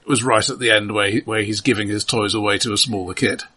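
A man's voice: fairly low pitch, steady tone, quick pace, bright, forceful tone, slightly rough. A man co-hosting a casual film discussion, hesitant, often stammering as he starts a thought. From Europe. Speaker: podcast co-host.

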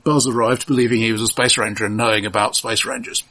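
A man co-hosting a casual film discussion, explaining in a halting, considered way. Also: mid-range voice, pushed and bright. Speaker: podcast co-host.

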